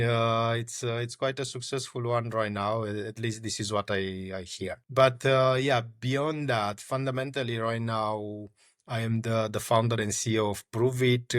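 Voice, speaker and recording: A man talks through his answers in a low voice, pausing often on fillers and starting phrases over. The sound is dry and close, with no room around it. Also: dry recording. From Romania. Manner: hesitant, many fillers and restarts. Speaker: startup founder and guest. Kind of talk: conversational interview answers.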